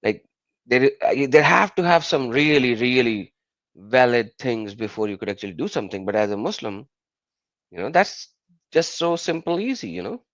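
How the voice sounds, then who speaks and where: A man's voice: mid-range voice, normal speed, dry recording. Man, native Urdu speaker, live stream host, casual explaining, dry dull recording. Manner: animated, explanatory, many fillers like "you know".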